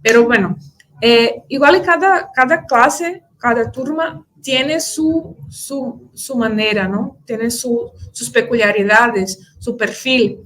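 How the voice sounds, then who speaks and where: A woman from Brazil, a native Portuguese speaker, answering audience questions at a conference in Spanish with a Brazilian accent, halting often with fillers and restarts. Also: on the high side, fairly bright tone, low-quality recording. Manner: hesitant, many fillers and restarts.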